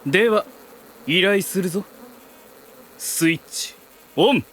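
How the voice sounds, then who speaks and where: An actor plays a detached, blunt young male inventor in an anime audio drama, speaking in terse, deadpan orders. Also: high-pitched, slow, noisy background.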